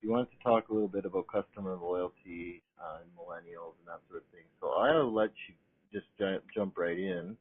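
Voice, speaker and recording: A middle-aged man with a low, husky, nasal voice, stuffed up from a cold. He hosts a casual interview, rambling a little and filling pauses with ums. The sound is narrow and muffled, like a phone line. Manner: relaxed, rambling, frequent ums and restarts. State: has a cold. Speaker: podcast host.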